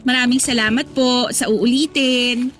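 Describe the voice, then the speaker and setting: A woman's voice: a little high, fairly even intonation, normal speed, fairly bright tone, natural voice. A woman playing a young cashier in a Tagalog radio drama, deferential and hesitant in manner. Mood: thinking aloud. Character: young female cashier, eager, polite and nervous. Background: music.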